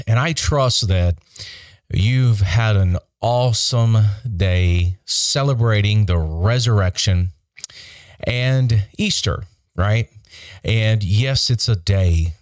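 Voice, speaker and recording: A man's voice: lowish voice, dry recording. A middle-aged man, a pastor, chatting encouragingly to camera in an informal online Bible study, close on a studio microphone. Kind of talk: informal devotional talk to camera. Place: small indoor studio set. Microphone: close broadcast microphone on a boom arm.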